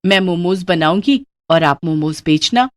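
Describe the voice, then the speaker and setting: A woman's voice: on the low side, expressive, a little slow. A woman voicing a poor, hardworking young woman who sells momos, speaking earnestly and politely in a cartoon story.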